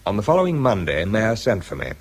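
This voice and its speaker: Husky, low-voiced, bitter British scientist. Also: male voice, wildly swooping pitch, fast, full character voice. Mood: dry, reflective. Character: bitter, self-pitying research scientist Sammy, narrating his story. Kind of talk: British radio drama acting and first-person narration.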